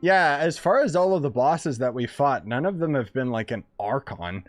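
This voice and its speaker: A man's voice: mid-range voice, expressive, moderate pace, faint music behind. A man giving casual, informal, slangy commentary as he plays through a game. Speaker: game streamer.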